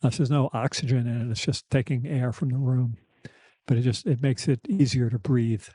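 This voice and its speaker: Mature male podcast host, mellow soft voice, slightly weak and lisping, halting candid delivery, dry close sound. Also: mid-range voice, steady tone, husky, dry recording. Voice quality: slight lisp, thick-tongued articulation. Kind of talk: reflective personal conversation.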